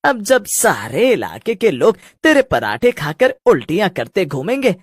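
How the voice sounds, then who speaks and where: Woman doing a husky, smarmy young village loafer. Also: medium pitch, sing-song, measured pace, no room sound, studio quality. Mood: gloating. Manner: wheedling, taunting, scheming. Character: smarmy, spiteful young village loafer man, pestering suitor. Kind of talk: Hindi cartoon dubbing.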